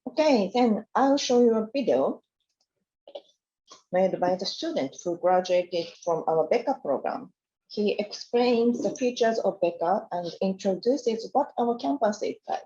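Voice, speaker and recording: A woman's voice: medium pitch, lively intonation, measured pace, mellow. A woman giving a scripted university presentation, speaking carefully and formally as she reads through her slides. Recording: dull lo-fi sound.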